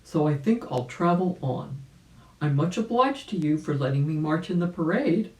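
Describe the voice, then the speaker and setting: A woman reading the part of a mild, determined old rabbit gentleman in a children's storybook, slowly and expressively. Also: low-pitched, animated. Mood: regretful, polite. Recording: roomy, echoing sound.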